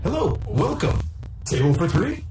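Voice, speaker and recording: A woman playing a proud, welcoming restaurant hostess in a video game, expressive and projected. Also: medium pitch, normal speed, roomy sound, over loud music, natural voice, low-quality recording. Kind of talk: video game character acting.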